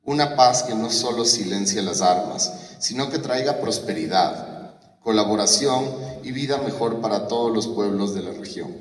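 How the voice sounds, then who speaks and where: A man gives a solemn formal speech at a memorial ceremony. He builds rhetorical, parallel phrases. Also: on the low side. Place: large concert hall. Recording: roomy hall from far off.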